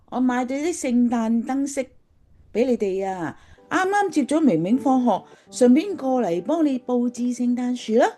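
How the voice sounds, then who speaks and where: Radio drama role: woman playing practical Cantonese mum, high, animated pitch, mellow tone. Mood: cheerful, busy. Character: practical, brisk mother of a schoolchild.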